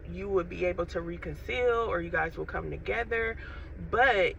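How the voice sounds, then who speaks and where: A woman with a scratchy throat and a stuffy nose gives an unhurried, casual spiritual message to her viewers, often saying "you know" and "um". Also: lowish voice, gravelly, a bit bright. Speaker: faith vlogger. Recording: dull, distant lo-fi recording with faint noise.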